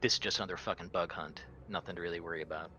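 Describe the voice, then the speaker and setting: A man's voice: sits low, fairly even intonation, very fast, bright, forceful tone, lo-fi sound, a little hiss or hum. A man playing a tabletop roleplaying game, talking casually in a blunt, bossy, sweary way as he takes the captain's part. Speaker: player as the crew captain.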